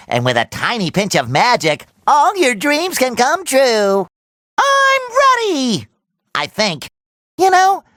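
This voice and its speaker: A man voicing a cheerful, naive, excitable young male cartoon sea sponge in a video game, with a nasal, squeaky, childlike and eager delivery. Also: no echo, clean studio sound, full character voice. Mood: upbeat, then musing.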